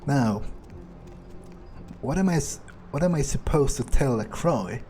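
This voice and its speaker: A man roleplaying a surly, foul-mouthed vampire during a game, musing haltingly to himself in character. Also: medium pitch, lively intonation, warm tone, faint music behind, natural voice. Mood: brooding, musing. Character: surly, foul-mouthed, self-serving male vampire, broodingly thoughtful. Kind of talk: in-character gameplay monologue.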